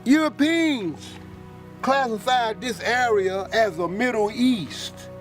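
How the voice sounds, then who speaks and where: Casual: really high-pitched guy, confident, explaining stuff. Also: lively intonation, slow pace, soft background music. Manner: assertive, explanatory. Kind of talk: explaining and interpreting.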